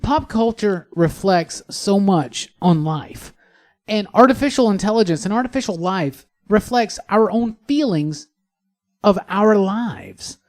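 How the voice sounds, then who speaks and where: A man's voice: high voice, expressive, unhurried, darkish tone. A man hosting a podcast in a conversational, effusive way, rephrasing his points for emphasis. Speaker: podcast host.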